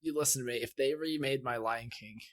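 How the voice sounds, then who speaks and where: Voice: mid-range voice, fairly quick, a crowd in the background. Exasperated podcast co-host ranting casually. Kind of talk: casual chat, comic ranting.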